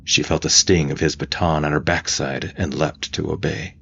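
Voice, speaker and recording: A man reading scripted audiobook fiction in a dramatic, intense way with deliberate emphasis. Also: rumbling low, steady tone, vocal fry, a bit bright, husky, lo-fi sound. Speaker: narrator. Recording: faint noise behind voice.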